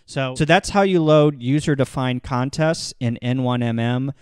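Casting: male tutorial presenter, smooth clear voice, mid pitch, casual step-by-step instruction, close-miked. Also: moderate pace, a little mellow, no room sound. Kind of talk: casual software tutorial narration.

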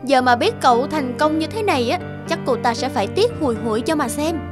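High gossipy classmate in a cartoon, spiteful and pushy, music behind. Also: female voice, expressive, brisk. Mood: goading. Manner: gossipy, goading. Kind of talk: cartoon voice acting. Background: background music.

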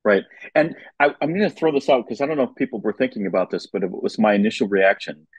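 A man's voice: fairly low pitch, fast, slightly rough, no room sound, poor audio. A man chatting casually as a guest commentator on an arts podcast. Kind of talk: casual chat.

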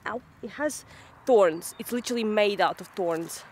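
A woman's voice: mid-range voice, moderate pace, a little mellow, slight background noise. A woman in her thirties chatting casually in a vlog, giggling and thinking aloud as she goes. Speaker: homesteading vlogger. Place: woodland outdoors, then home kitchen. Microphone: camera mic, handheld close then fixed camera.

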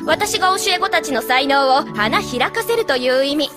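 A woman's voice: high voice, animated, moderate pace, over music. A woman voicing a kindly, earnest schoolteacher pony in a dubbed cartoon, explaining politely as if to a class. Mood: gentle, instructive.

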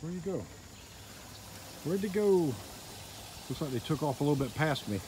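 Man narrating quietly from a boat. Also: fairly high pitch, normal speed. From Northeast Florida. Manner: excited, quiet running commentary. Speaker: fishing vlogger. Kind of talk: casual narration while filming. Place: small boat on a river outdoors. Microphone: handheld phone camera. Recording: outdoor noise, insects behind.

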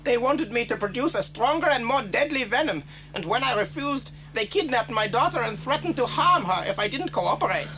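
Earnest doctor, noisy tape. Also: male voice, extremely high pitch, lively intonation, a little fast, pushed and bright, heavily acted. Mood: grave, explaining. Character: earnest male doctor, father, recently kidnapped. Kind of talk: children's audio story acting. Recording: noisy old story cassette.

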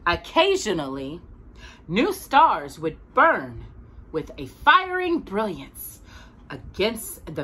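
A middle-aged woman reading a picture book aloud to a child, expressive and deliberate, stressing key words. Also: fairly low pitch, a little hiss or hum, rough recording. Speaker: host of a children's storytime. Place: small indoor room with bookshelves.

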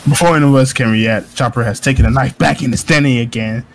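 A man's voice: mid-range voice, fast talker, gravelly, slight background noise. A man narrating a visual novel playthrough aloud in an amused, mock-dramatic way.

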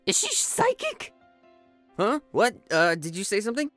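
A woman voicing a young male cartoon hedgehog hero, cocky yet shy, expressive and easily flustered, in an amateur comic dub. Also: mid-range voice, moderate pace, faint music behind. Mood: confused, shy. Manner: expressive, swinging from smug to flustered.

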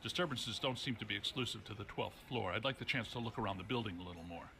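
Creaky, studious scientist. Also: male voice, lowish voice, bright, forceful tone. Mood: curious, detached. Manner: measured wording, technical, matter-of-fact. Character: studious, reserved male ghost-hunting scientist. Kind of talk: game dialogue. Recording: clear background noise.

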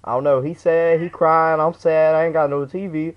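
A man's voice: fairly high pitch, little pitch movement, moderate pace, close to an everyday voice. A young man putting on a slangy, stammering, aggrieved customer for a prank phone call. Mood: plaintive. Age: young adult. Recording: dull lo-fi webcam audio.

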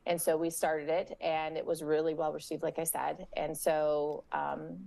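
A middle-aged woman with a low voice speaks over a video call from a room at home. She talks in a friendly, easygoing way, often stopping with fillers and restarting. The sound comes through a laptop webcam mic. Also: little pitch movement, unhurried, creaky, dark tone. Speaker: parks and recreation organizer. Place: home room with window blinds.

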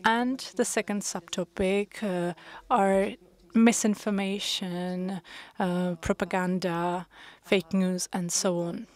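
Brief: woman interpreter, unhurried delivery, mid-range voice, hesitant pauses between phrases. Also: mid-range voice, fairly even intonation, darkish tone. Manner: measured, with frequent hesitations. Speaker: conference interpreter. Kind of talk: simultaneous interpretation of a panel discussion.

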